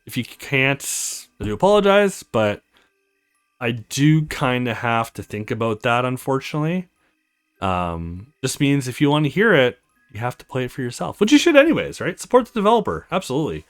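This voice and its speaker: A man's voice: mid-range voice, very animated, normal speed, dry recording, soft background music. A man hosting a let's play, chatting casually in rambling asides as he comments on a game. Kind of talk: casual gaming commentary and reading aloud a visual novel.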